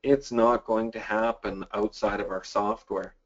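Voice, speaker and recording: Upbeat Canadian-born man pitching software. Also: fairly even intonation, measured pace. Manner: casual, upbeat pitch with many fillers. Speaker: webinar host. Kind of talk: live sales webinar, reading chat questions. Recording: dull lo-fi audio.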